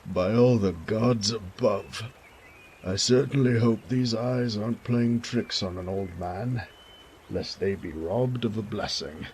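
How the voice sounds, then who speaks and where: A man's voice: lowish voice, slow, a little mellow, a little hiss or hum, voice pushed up. A man playing a kindly elderly nobleman and father in an audio drama, effusive and genteel with old-fashioned phrasing. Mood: delighted, fussy. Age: older. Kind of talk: audio roleplay drama acting.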